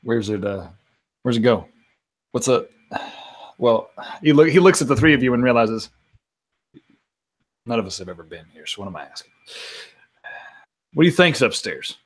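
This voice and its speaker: Guy playing a tabletop rpg, kinda halting and jokey, improvising in a regular midrange voice. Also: medium pitch, lively intonation. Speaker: player in a tabletop mystery game. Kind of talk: casual in-character improv banter.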